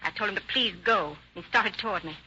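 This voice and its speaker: A woman's voice: medium pitch, quick pace, sharp tone, slightly rough. An actress playing an evasive woman on trial for murder, testifying defensively in an old radio drama. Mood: nervous, defensive. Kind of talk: 1940s radio acting. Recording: muffled, hissy old radio recording.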